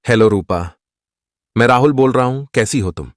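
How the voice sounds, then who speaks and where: A man's voice: medium pitch, normal speed, no echo, studio quality, close to an everyday voice. A man voicing a serious, formal, guarded young fiancé in a cartoon story. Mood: polite. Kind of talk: Hindi cartoon story acting.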